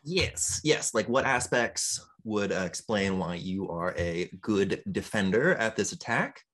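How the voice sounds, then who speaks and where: A man running a tabletop role-playing session as game master, encouraging the players and pausing often with ums. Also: lowish voice, lively intonation, a bit bright. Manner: encouraging, hesitant with frequent ums.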